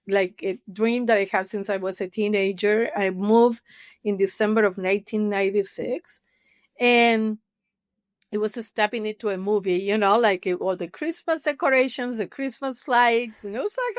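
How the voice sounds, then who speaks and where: A woman's voice: on the high side, no echo, studio quality. A middle-aged woman from Argentina with an Argentine Spanish accent, a therapist telling her story candidly as a podcast guest. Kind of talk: casual interview storytelling.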